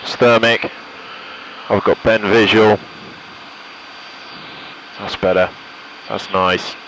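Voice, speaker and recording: A British man with a fairly low voice talks through his flying as he goes. He thinks aloud casually and slips into clipped radio calls. A light aircraft engine is faintly heard behind him. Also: fairly even intonation, slow, a bit bright, a little hiss or hum, rough recording. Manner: thinks aloud while concentrating. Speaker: pilot and vlogger. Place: small light aircraft cockpit in flight.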